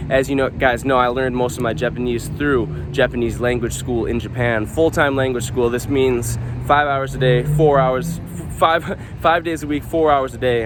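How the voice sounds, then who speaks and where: A young American man with a middle-pitched voice speaks at a quick clip in a casual vlog. He rambles through anecdotes in slang and voices both sides of conversations. He is outdoors, with clear car and street noise behind him. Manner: animated storyteller, acts out quoted conversations, slangy and swearing. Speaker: vlogger.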